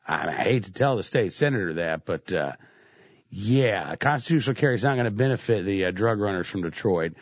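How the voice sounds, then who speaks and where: A man's voice: on the low side, expressive, fairly quick, dry recording, highs cut off. Congested male talk radio host. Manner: conversational, frequent "uh" fillers. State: congested with a cold. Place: radio studio. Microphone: studio microphone.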